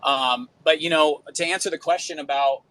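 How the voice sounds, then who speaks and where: A man's voice: on the high side, normal speed, fairly bright tone, low-quality recording. A man talking casually as a guest musician, in an informal, rambling personal monologue full of slangy filler phrases. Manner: informal, rambling, frequent "dude" and "you know".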